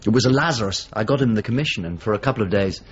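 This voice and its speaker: A middle-aged painter in a radio drama, searching after an old friend. His low voice is husky, and he questions in a measured, hesitant way. The sound is slightly muffled. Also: animated. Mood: reflective. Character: Italian painter, probing visitor seeking an old friend. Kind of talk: naturalistic radio drama acting.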